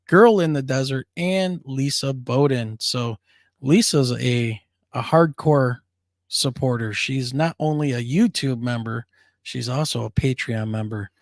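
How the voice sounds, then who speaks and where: A man's voice: mid-range voice, no room sound, clean studio sound. A man co-hosting a casual live-streamed talk show, chatty and upbeat, his voice scratchy and worn. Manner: upbeat, chatty, laughs easily. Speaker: co-host of a paranormal talk show.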